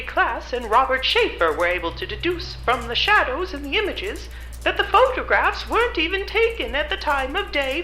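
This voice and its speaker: A woman's voice: high-pitched, sharp tone, roomy sound, exaggerated voice, poor audio. A woman playing a contemptuous, vehement skeptic, seething with disgust in an audio drama.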